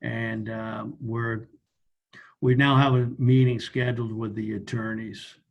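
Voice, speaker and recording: An American man giving informal, measured and somewhat halting explanations in an online meeting. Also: mid-range voice, flat delivery, highs cut off. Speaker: committee member and meeting host. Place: remote video meeting.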